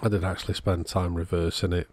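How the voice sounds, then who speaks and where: A man's voice: low-pitched, flat delivery, quick pace, a little mellow, no room sound. A man narrating a repair job in a casual, matter-of-fact, understated way. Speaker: host of electronics repair channel.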